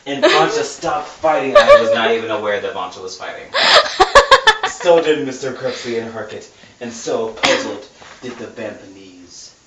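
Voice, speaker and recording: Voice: mid-range voice, huge pitch swings, normal speed, muffled recording. A giggly, tipsy amateur reader doing a casual dramatic read-aloud of a novel and stumbling over words. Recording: roomy, noisy, distant mic.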